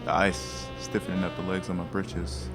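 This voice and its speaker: A man's voice: deep voice, little pitch movement, moderate pace, loud music behind the voice. An actor playing a subdued, unsure aging male prizefighter in an audio drama.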